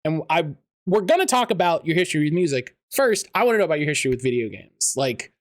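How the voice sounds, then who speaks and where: A man's voice: fairly high pitch, big pitch swings, fast talker. A man hosting a podcast interview, rambling with lots of fillers and restarts. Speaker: podcast host.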